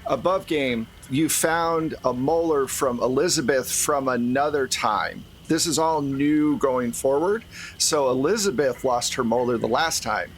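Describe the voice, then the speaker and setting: A man's voice: mid-range voice, measured pace, poor audio. A man acting as game master, narrating a tabletop role-playing game to the players. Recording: faint background noise.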